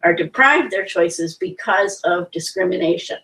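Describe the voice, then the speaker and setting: A woman's voice: on the low side, expressive, moderate pace, fairly bright tone, recorded from a distance. A woman hosting a discussion, often agreeing with her co-host and then explaining at length. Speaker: host, director of the project. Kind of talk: casual panel discussion.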